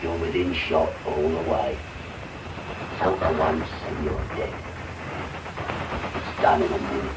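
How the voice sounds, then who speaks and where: An actor playing a brutal, gruff burglar who threatens and commands, in a radio drama. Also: male voice, very deep voice, steady tone, takes it slowly, pauses often. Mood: menacing. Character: brutal, menacing adult male London burglar. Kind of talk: 1990s radio drama acting. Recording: very noisy medium-wave radio recording.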